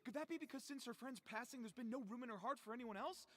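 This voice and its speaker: An actor voicing an excitable, starstruck little male tabloid reporter creature from a video game, gushing and theatrical. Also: very high voice, fast, in a large hall. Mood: mock outrage. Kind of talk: video game character acting.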